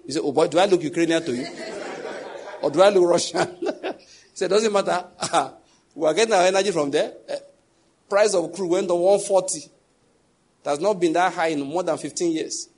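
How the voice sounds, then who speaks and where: A man's voice: high voice, moderate pace, pauses often, a little mellow, slightly rough. A middle-aged Nigerian man with a Nigerian English accent, preaching a sermon in a conversational, humorous way. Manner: conversational, humorous, laughs at his own jokes. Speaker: pastor.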